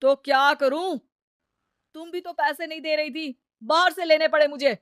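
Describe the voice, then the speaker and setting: A woman's voice: sits high, moderate pace, a bit bright, dry recording, clean studio sound, pitched above the performer's own voice. A woman voicing a cocky, irresponsible gambler husband in a cartoon story, boastful and wheedling in manner. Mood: irritated.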